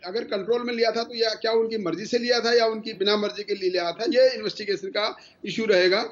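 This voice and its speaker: An older man, a former police officer, giving measured commentary as a news panel guest. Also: high-pitched, fairly even intonation. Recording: dull lo-fi remote feed.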